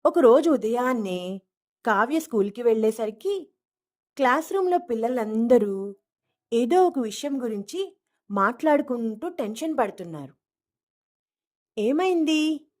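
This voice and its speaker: High-voiced woman narrating a children's story. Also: lively intonation, moderate pace, pauses often, darkish tone.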